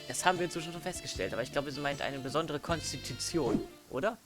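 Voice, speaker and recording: A man's voice: on the high side, fast talker. A man who is a native German speaker, giving casual, hesitant gaming commentary as a let's play host. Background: game music.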